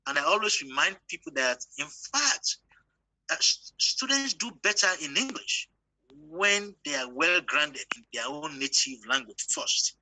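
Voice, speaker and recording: A man's voice: on the high side, big pitch swings, a little slow, bright, forceful tone, no room sound, poor audio. A Nigerian-born man with a Yoruba accent, whose first language is Yoruba, speaks bluntly and with emphasis as a professor in an online panel talk, asking rhetorical questions.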